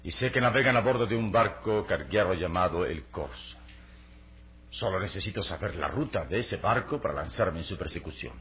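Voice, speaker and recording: An actor playing a resolute, commanding adventure hero in a radio serial, with formal, emphatic delivery. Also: male voice, sits low, lively intonation, moderate pace. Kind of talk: Latin American radio serial acting. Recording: muffled, narrow old radio drama recording.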